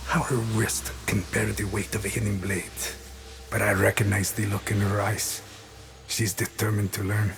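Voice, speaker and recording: Brooding, gravelly veteran assassin. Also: male voice, lowish voice, noisy background. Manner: brooding, measured, literary. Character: weary, guilt-ridden veteran assassin recounting his past, resolute. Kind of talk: solemn scripted narration.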